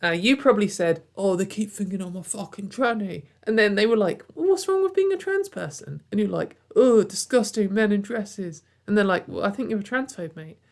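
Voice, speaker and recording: A woman's voice: mid-range voice, animated, a little fast, few pauses, a little mellow. A woman hosting a casual commentary video from home, sarcastic and exasperated, with frequent sighs and fillers.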